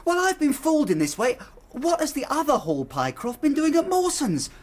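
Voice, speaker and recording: A young man with an English accent acting a naive, bewildered Victorian clerk in a radio drama, earnest and excitable. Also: very high-pitched, a little fast, full character voice. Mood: agitated. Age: young adult.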